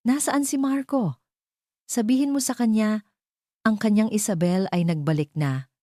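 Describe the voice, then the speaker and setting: A woman's voice: mid-range voice, moderate pace, warm tone, no echo, clean studio sound, pitched above the performer's own voice, close to an everyday voice. Haughty, sweet-talking Filipina temptress, as a narrator reads her. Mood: condescending. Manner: sweet with a condescending edge. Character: haughty, seductive glamorous woman, rich ex-lover villainess.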